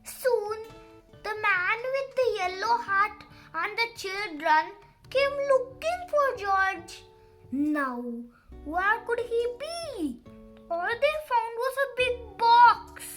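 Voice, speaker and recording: Super high voice reading a kids story, slow and sing-songy, faint music behind. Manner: animated, sing-song storytelling. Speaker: children's storybook narrator. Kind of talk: scripted children's read-aloud narration.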